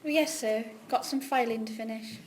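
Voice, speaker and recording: A woman's voice: fairly high pitch, normal speed, close to an everyday voice. A woman in an amateur drama reading, playing a polite, anxious secretary with a deferential manner. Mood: polite, eager. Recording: faint background noise.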